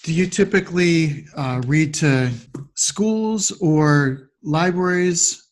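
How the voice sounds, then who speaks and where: A man's voice: a little high, takes it slowly. A man hosting a podcast interview, asking questions and giving short responses.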